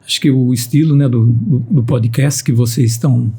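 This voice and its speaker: A man's voice: medium pitch, normal speed, dark tone, slightly rough. A man in his fifties with a Piracicaba countryside accent, a guest scientist on a podcast, speaking steadily and often repeating words as he starts a sentence. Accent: Piracicaba countryside Brazilian Portuguese. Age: 50s. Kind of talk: podcast conversation.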